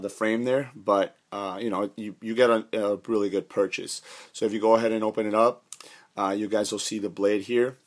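Hoarse man rambling through a review. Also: lowish voice. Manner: rambling, many fillers and restarts. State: hoarse from a cold. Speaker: knife reviewer. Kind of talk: casual product review. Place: indoors, carpeted room.

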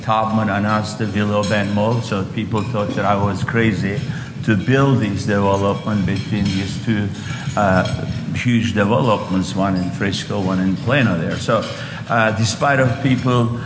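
A man's voice: on the low side, fairly even intonation, a little slow, background noise. A man in his sixties with a Turkish accent, telling his story as an onstage guest with many fillers and restarts. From Istanbul, Turkey. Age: 60s. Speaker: guest speaker, real estate developer. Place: large hotel ballroom luncheon on stage. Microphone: lapel mic. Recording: echoey ballroom with long reverb, loud dish clatter. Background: clinking cutlery and dishes.

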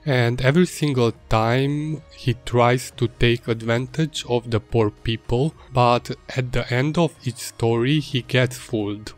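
A man whose first language is Hungarian, calmly narrating his illustration process as a voice-over. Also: mid-range voice, unhurried, hardly any pauses. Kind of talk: voice-over narration of a drawing video. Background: faint music.

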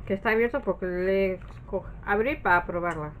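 A woman's voice: mid-range voice, normal speed, a little hiss or hum. A woman with an accent from Spain, chatting casually and rambling as she shows her purchases, often trailing off and correcting herself. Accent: Spanish from Spain. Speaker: craft haul vlogger. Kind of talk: casual chat, showing purchases to camera.

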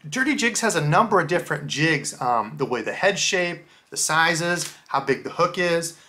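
A man, hosting a casual fishing lure unboxing from a small indoor room and explaining each bait in a relaxed, chatty way. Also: fairly high pitch, normal speed. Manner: relaxed, chatty, explains gear step by step with fillers. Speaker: fishing channel host.

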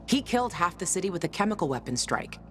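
An actress playing a tough, jaded survivor in a video game, blunt, sardonic and profane. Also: female voice, on the low side, sing-song, fast, a bit bright, slight background noise. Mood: sardonic, scornful. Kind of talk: video game dramatic acting.

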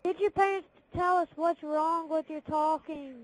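High-pitched blunt female gamer. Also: steady tone, moderate pace, no echo. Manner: blunt, scolding. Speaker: online game party player. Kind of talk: casual party chat. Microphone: game console party chat. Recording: noisy game headset chat.

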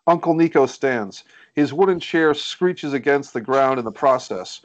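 A man's voice: mid-range voice, unhurried. A man reading stage directions as scripted narration at a screenplay table read.

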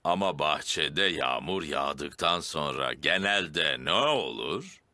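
A man voicing a jolly fatherly cartoon pig in a children's dub, hearty and gently coaxing. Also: a bass voice, lively intonation, slow, dry recording, exaggerated voice.

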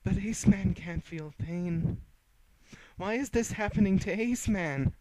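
A man voicing a dazed armored girl fighter in a fan-read story, talking slowly and high in a bewildered, pleading voice. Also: darkish tone, dry recording, rough recording.

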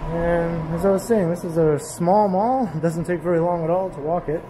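A man giving an unhurried, casual running commentary while walking through an indoor mall, filmed on a handheld camera. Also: a little high, noisy background. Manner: relaxed, unhurried commentary with pauses. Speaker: walking tour vlogger. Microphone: handheld gimbal camera.

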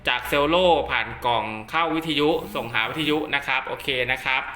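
A man's voice: mid-range voice, fairly quick, bright, forceful tone, roomy sound. A man casually demonstrating equipment step by step, chatty and polite, like a seller testing a product for a buyer.

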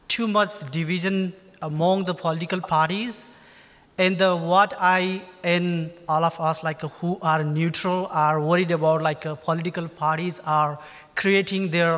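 A man with a Nepali accent asking an audience question at a stand microphone, halting and full of filler phrases. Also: a little high, a bit bright. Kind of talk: unscripted public question. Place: theatre, standing below the stage. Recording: reverberant theatre hall.

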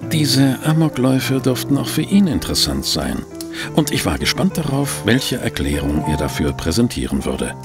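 An actor playing a courteous, inquisitive newspaper reporter in a German audio drama, speaking politely and formally. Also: male voice, medium pitch, very animated, normal speed, over music. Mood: expectant. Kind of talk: German audio drama acting and first-person narration.

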